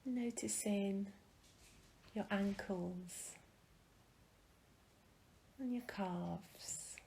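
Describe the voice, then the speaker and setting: A woman leading a guided meditation in a soft, breathy, soothing manner with gentle pauses. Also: medium pitch, slight background noise, poor audio.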